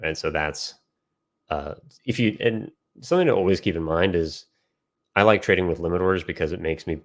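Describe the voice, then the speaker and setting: A man giving informal instructional commentary as a trading educator, pausing mid-phrase to think as he explains and answers questions. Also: deep voice. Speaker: trading educator and system developer.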